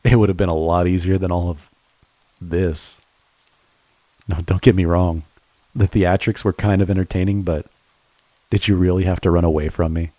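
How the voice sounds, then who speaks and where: A man performs a devoted, tender friend turned lover in a romantic audio drama. His voice is deep, dark and soft-edged with some huskiness. He speaks straight to the listener in an earnest, halting way. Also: fairly even intonation, no room sound. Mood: tender, teasing. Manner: halting, earnest, with stammered repeats.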